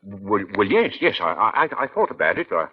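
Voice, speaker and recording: A man's voice: fairly low pitch, wildly swooping pitch, brisk. A man playing a nervous, hesitant old shopkeeper who stammers apologetically in an old radio crime drama. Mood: nervous, evasive. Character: nervous, frightened old shopkeeper withdrawing his testimony. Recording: muffled, noisy old radio recording.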